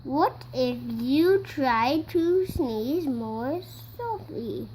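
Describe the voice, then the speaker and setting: A five-year-old child reads a picture book aloud, acting out the parts. The voice is high and the delivery is very slow and halting, word by word. There is some noise behind the recording. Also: expressive, darkish tone, rough recording. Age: child, five years old. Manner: slow, halting word-by-word reading with acted-out sneezes.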